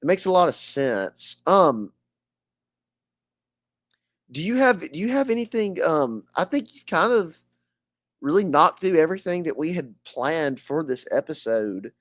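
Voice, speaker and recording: A man's voice: on the high side, big pitch swings, a little slow, pauses often, a bit bright, dry recording, studio quality. Scratchy-voiced man hosting a podcast. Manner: hesitant, many fillers and restarts. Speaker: podcast host. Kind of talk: casual podcast interview.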